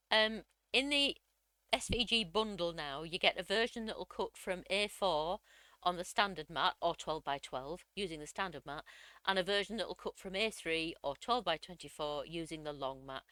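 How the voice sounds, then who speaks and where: A woman, slightly croaky from a cold, chattily walking through a crafting software tutorial step by step. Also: sits low, no echo. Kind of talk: screen-recorded software tutorial.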